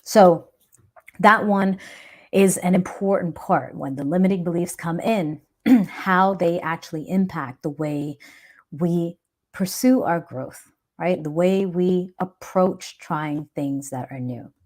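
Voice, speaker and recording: A woman's voice: fairly low pitch, slow pace. A woman hosting a live chat, talking earnestly and reflectively about self-doubt and growth, often checking in with a "right?". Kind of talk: motivational live stream talk.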